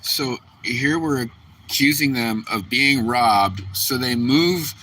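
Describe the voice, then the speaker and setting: A man's voice: mid-range voice, slow, sharp tone. A man speaking casually as an off-camera companion, adding short interjections. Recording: distant mic, background noise.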